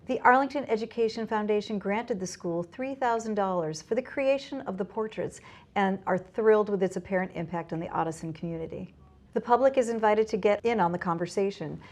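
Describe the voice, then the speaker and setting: A woman's voice: medium pitch, darkish tone. A woman presenting local news in a scripted narration style.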